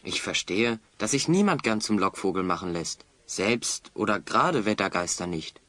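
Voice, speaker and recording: A man's voice: lowish voice, lively intonation, moderate pace, bright, forceful tone, no echo. A man playing a keen, encouraging hero who leads his friends in a German children's audio play, reasoning and urging.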